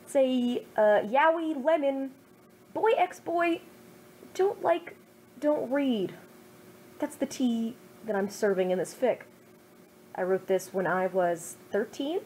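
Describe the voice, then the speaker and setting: A woman's voice: on the high side, takes it slowly, pauses often, darkish tone, a little hiss or hum, poor audio. A woman in her twenties, a streamer reading her own old fan fiction with self-mocking, over-the-top jokes. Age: 20s. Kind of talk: casual chat then dramatic story reading.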